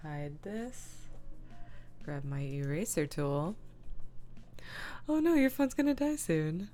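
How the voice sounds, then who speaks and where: Low voiced woman streaming, chatting n muttering thru her editing, bit of lofi music in the back. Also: animated, slow. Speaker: livestream host. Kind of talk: casual livestream chat narrating image editing. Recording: soft music playing behind the voice. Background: lo-fi music, computer keyboard typing.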